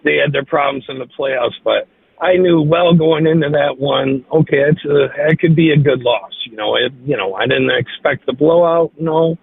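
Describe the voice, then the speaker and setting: A man's voice: a little high, moderate pace, gravelly, slightly rough, no echo. A man calling in to a sports radio show, eager and rambling, heard over a phone line. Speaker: caller. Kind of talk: call-in chat.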